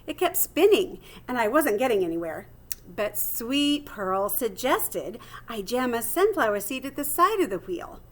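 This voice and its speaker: A woman's voice: high-pitched, a bit bright. A woman voicing a small, plucky male hamster who reads his diary aloud theatrically and earnestly, as in a children's storybook. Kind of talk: storybook read-aloud in first person.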